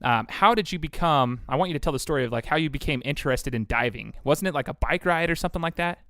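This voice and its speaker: A man's voice: on the high side, quick pace, a bit bright, no echo, studio quality. A man hosting a casual sports interview podcast, upbeat and chatty with plenty of fillers. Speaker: podcast host.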